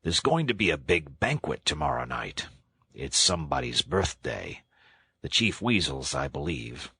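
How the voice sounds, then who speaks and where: A man voicing an older, commanding badger with a dry, measured manner in a children's storybook. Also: extremely low pitch, big pitch swings, vocal fry, pushed and bright, slightly rough, dry recording, pitched below the performer's own voice, heavily acted. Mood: measured, scheming.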